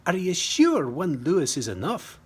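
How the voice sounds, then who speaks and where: A man's voice: a little high, wildly swooping pitch, normal speed, husky, voice pushed up, exaggerated voice. A man voicing a small, skeptical WWI pilot in a storybook reading, with dry, teasing sarcasm.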